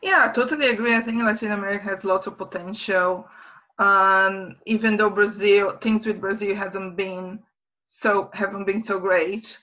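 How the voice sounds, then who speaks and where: A woman's voice: medium pitch, fairly even intonation, moderate pace, sharp tone, lo-fi sound. A woman hosting an interview, asking questions and agreeing readily with short replies. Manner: agreeable, brief backchannels. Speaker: interviewer, host. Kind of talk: casual interview chat.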